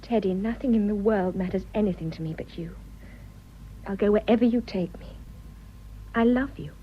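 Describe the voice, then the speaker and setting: A woman's voice: medium pitch, moderate pace, muffled recording, a little hiss or hum, close to an everyday voice. An actress playing a tender, emotional young English woman in love, fond and teasing, with clipped period radio drama speech. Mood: tender, overjoyed.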